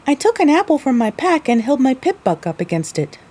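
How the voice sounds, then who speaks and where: A woman's voice: sits high, very animated, brisk, dark tone. A woman narrating a scripted first-person story.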